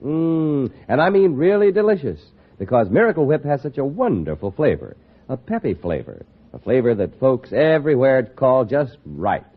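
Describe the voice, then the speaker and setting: A man's voice: medium pitch, big pitch swings, moderate pace. An American man working as a radio announcer, reading scripted copy in a 1950s broadcast style. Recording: tinny old radio broadcast.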